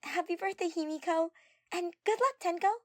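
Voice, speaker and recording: A woman's voice: very high voice, moderate pace, fairly bright tone, pitched above the performer's own voice. A woman voicing a sweet, friendly teenage girl in an audio story, playful and teasing. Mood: bright, playful. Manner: playful, sing-song teasing.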